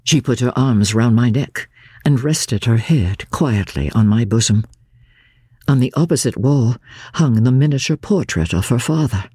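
An older woman narrating classic literature as a diary, in a measured, grave and expressive dramatic reading. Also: no room sound, clean studio sound. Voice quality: low-pitched, husky. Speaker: audiobook narrator, radio presenter.

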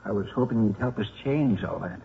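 An actor playing a gentle, drily humorous priest in his sixties, mild and a little hesitant, in a 1950s radio drama. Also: fairly low pitch, brisk, gravelly, husky, full character voice. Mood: gentle, wry. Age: 60s. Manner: hesitant, mild, wryly formal. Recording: muffled 1950s radio broadcast.

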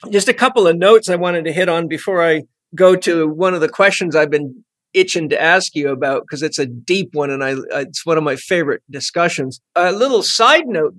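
Middle-aged man, podcast host, high-pitched, enthusiastic, rambling, casual chat. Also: moderate pace, a bit bright. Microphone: podcast microphone.

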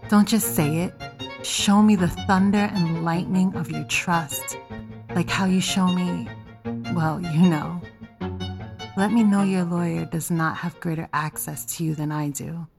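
A woman plays a manipulative seductress in an audio drama. Her voice is on the low side, soft and coaxing as she probes her lover. Light music runs beneath her. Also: steady tone, unhurried, creaky. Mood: pleading. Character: Delilah, seductive, probing, manipulative adult female lover. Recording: music under the voice.

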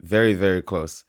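A man's voice: lowish voice, vocal fry, dry recording, clean studio sound. A man from Zambia, a native Bemba speaker, chatting casually and candidly as a singer-songwriter being interviewed.

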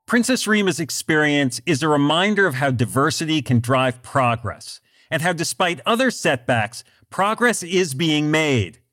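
A man hosting a business podcast, reading scripted narration in a measured, deliberate way. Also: a little high, expressive, bright, forceful tone. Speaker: podcast host.

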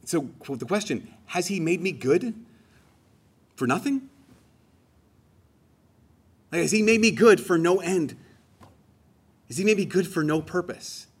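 A man's voice: high voice, lively intonation, measured pace, long pauses, husky. A man giving a homily in a conversational, emphatic way, rising on key phrases. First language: English. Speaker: preacher.

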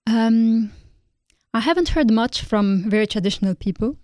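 A woman from Lebanon, a guest artist in an interview, speaking thoughtfully and pausing often with ums. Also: on the high side, lively intonation, darkish tone, no echo, clean studio sound. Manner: thoughtful, hesitant with frequent ums. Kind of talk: interview conversation.

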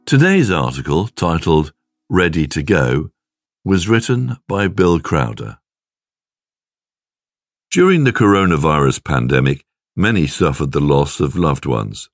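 Very deep-voiced man reading a devotional. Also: big pitch swings, measured pace, dry recording, clean studio sound. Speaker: devotional reader. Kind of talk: scripted devotional reading with scripture and prayer.

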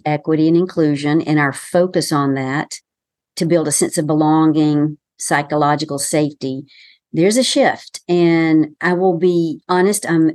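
Low-voiced woman with a softened Southern accent. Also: no echo, clean studio sound. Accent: Southern American, softened. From American South. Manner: measured, explanatory coaching tone. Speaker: communication consultant guest. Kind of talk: professional podcast interview.

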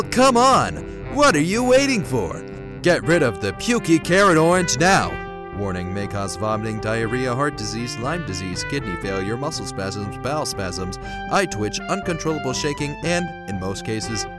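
A man's voice: medium pitch, big pitch swings, moderate pace, music in the background, close to an everyday voice. A man playing an over-the-top commercial announcer in a parody radio ad, salesy and theatrical. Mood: flat disclaimer.

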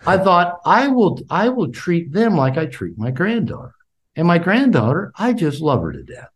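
An older man in his sixties speaks as a podcast guest, with a lively, swooping pitch. He often repeats his first words before a sentence gets going. His talk is casual and warmly animated. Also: moderate pace. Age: 60s. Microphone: call.

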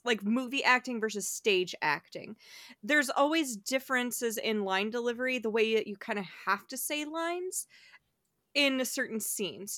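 A woman's voice: fairly high pitch, pushed and bright, no room sound, studio quality. A woman co-hosting a film podcast, talking bluntly and expressively. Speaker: podcast co-host. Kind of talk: casual chat.

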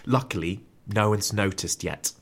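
An actor playing a dejected, anxious famous comedian, hesitant and full of self-doubt, in a comedy audio drama. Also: male voice, on the low side, moderate pace, close to an everyday voice. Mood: wistful, confiding. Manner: hesitant, sheepish.

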